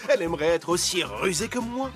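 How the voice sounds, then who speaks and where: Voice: mid-range voice, animated, fairly quick, natural voice. An actor as a vain, swooping cartoon fox. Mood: cocky, dismissive. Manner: smug, theatrical, swaggering. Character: vain, sly, boastful trickster fox, admired forest star. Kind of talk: French children's cartoon dialogue. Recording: music under the voice.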